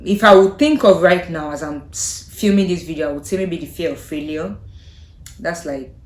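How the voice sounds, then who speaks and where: Nigerian woman with a lowish voice in an echoey room. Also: normal speed. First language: Yoruba. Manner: thoughtful, hesitant, trailing off softly with frequent laughs. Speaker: vlogger. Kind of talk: casual chat to camera.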